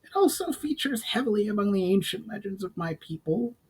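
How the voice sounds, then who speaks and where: A man's voice: high voice, expressive, fairly quick, breathy edge, pitched above the performer's own voice, rough recording. A man voicing an inquisitive, earnest goblin companion who speaks formally in a storybook reading. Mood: solemn. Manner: formal, questioning speech.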